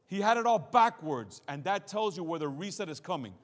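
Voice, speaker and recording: A man's voice: high-pitched, animated, a little fast. A man preaching a sermon as a pastor, emphatic and dramatic, leaning on repeated phrases and rhetorical questions. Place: large hall with congregation and screen, streamed to viewers at home. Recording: long hall reverb.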